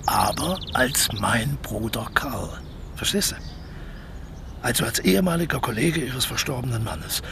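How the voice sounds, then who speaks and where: An actor playing a smooth-talking, scheming crook in a radio play, persuasive and cocky as he explains his plans. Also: mid-range voice, lively intonation, measured pace, noisy background. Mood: sly, confident. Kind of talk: naturalistic German radio play dialogue.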